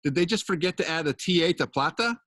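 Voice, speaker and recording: A man's voice: fairly high pitch, animated, fast, fairly bright tone, dry recording. Effusive male interviewer, dry close sound. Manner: effusive, rambling questions with restarts. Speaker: interviewer, host. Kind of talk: conversational interview.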